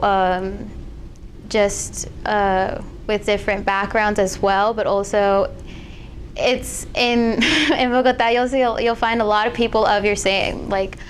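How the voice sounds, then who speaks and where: A woman's voice: medium pitch, a little hiss or hum. A teenage girl of Colombian family answering interview questions hesitantly, with many fillers. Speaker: student interviewee.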